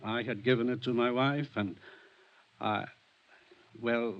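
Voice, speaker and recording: A man's voice: medium pitch, fairly even intonation, slow pace, lightly acted. A man acting in a 1950s radio drama as a wealthy, genteel, evasive homeowner, speaking in a polite, formal, slightly haughty way. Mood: regretful, formal. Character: genteel, wealthy, evasive male mansion owner. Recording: muffled 1950s radio recording.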